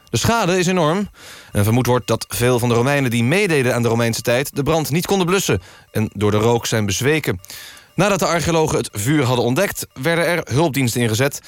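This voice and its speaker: A man presenting a mock radio news magazine, reading scripted items in a deadpan manner. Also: mid-range voice, dry recording. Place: dry radio studio.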